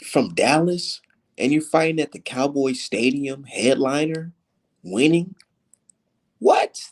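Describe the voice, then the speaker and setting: A man's voice: a little high, expressive, slow. An American man in a casual, profane, slangy chat, talking like a sports show host. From United States, Minnesota and Texas. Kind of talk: casual street-talk chat about sports.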